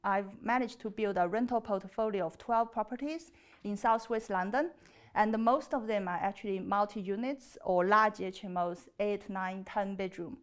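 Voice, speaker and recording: A woman in her thirties from China with a Chinese accent, giving an earnest, slightly hesitant personal pitch. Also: moderate pace. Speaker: property developer pitching as a contestant. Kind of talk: personal pitch and chat on a TV show.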